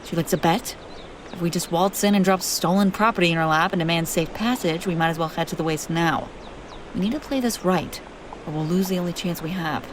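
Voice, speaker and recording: Woman acting a wry, low-voiced fantasy princess. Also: a little fast, noisy background, lightly acted. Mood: conspiratorial, explaining. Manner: exasperated, sardonic, bantering. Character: haughty, wry young fantasy princess on the run. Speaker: audio roleplay performer. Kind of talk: acted audio roleplay, one-sided dialogue.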